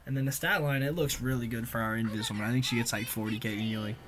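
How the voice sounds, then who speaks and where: A man giving casual, slangy voice-over commentary on gameplay, conversational with animated reactions. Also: medium pitch, rapid-fire, noisy background. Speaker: gaming video creator.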